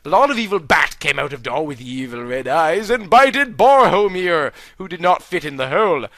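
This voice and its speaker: A man reading a clumsy fan story aloud in a deadpan, mock-earnest comic style, close to the mic. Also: fairly high pitch, moderate pace, no room sound. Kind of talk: comedic dramatic reading.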